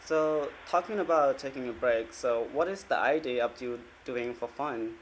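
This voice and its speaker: A man's voice: mid-range voice, normal speed, slight background noise, poor audio. A man, a Khmer speaker, asking prepared interview questions.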